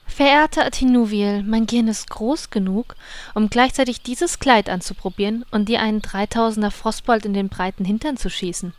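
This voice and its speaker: A woman's voice: a little high, moderate pace, no echo, natural voice. A woman playing a vain, haughty blood elf with a catty manner in a comedy fantasy audio drama. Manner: haughty, bitchy.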